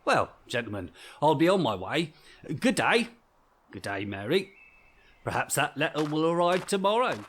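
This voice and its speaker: A man's voice: on the high side, very animated, moderate pace, a bit bright, a little hiss or hum. An actor playing a kindly, gossipy English village postman in a vintage radio drama, with a rustic, folksy turn of speech. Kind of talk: 1940s radio drama acting.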